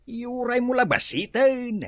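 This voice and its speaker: A woman's voice: fairly high pitch, animated, unhurried, no room sound. A woman playing a scolding, grumbling parent of a poor household in an Ilocano radio drama, with an Ilocano accent. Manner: scolding, exclamatory.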